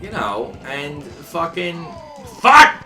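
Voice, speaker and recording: High, bright-voiced young man ranting over game music. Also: sing-song, extremely slow, gravelly. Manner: rambling, profane, mocking impressions. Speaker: let's player. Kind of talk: casual gameplay commentary.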